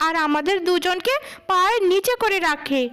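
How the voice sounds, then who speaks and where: Woman voicing a very shrill, sharp-tongued jealous big sister. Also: expressive, moderate pace. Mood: spiteful, mocking. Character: jealous, quarrelsome eldest sister, young woman. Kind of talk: cartoon story acting.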